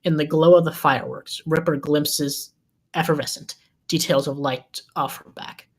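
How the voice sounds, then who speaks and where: A woman's voice: low voice, fairly even intonation, moderate pace. A woman reading a script's scene descriptions aloud as narrator at a table read.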